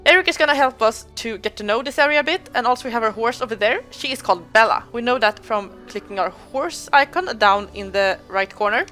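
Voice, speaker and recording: Young woman, higher voice, chatty game walkthrough host, light music underneath. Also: expressive, brisk, hardly any pauses, bright, forceful tone. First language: Swedish. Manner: chatty, explanatory, self-correcting. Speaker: gaming video host. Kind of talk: let's play walkthrough commentary.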